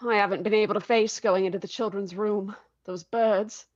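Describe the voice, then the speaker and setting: An actress playing an anxious, gentle but chiding wife and mother in a radio drama, with a nervy, pleading manner. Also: medium pitch, fairly even intonation, moderate pace, dry recording, muffled recording, lightly acted. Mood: worried.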